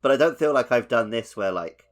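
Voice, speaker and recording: A man's voice: mid-range voice, animated, brisk. A man co-hosting a podcast, talking casually about a video game. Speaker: podcast co-host.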